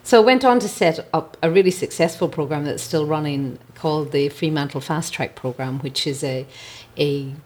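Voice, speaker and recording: A woman's voice: deep voice, normal speed, few pauses, a little mellow. A middle-aged or older woman with an Irish accent, telling her own story in a conversational, reflective way as a podcast guest. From Ireland. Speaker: podcast guest, mental health advocate. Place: at home, indoors.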